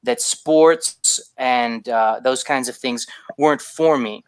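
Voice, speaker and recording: A man with a smooth, clear voice of middling pitch answers interview questions as a writer. He explains things thoughtfully and haltingly, restarting phrases, in a dry, close recording. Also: no echo. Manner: halting, explanatory, repeats words as he formulates. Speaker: guest, fiction writer.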